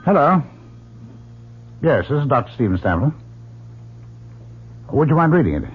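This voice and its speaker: A man's voice: a little high, very animated, normal speed, husky, exaggerated voice. An actor playing a dry, skeptical middle-aged father in an old-time radio drama, wry and probing. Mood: curious. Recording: dull, lightly noisy old radio recording.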